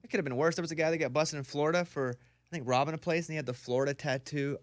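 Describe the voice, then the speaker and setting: A man from Arkansas hosting a radio show, asking quick questions and teasing his guest in a casual studio chat. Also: fairly high pitch, fairly even intonation, no room sound. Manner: joking, teasing, fast-talking. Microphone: studio mic.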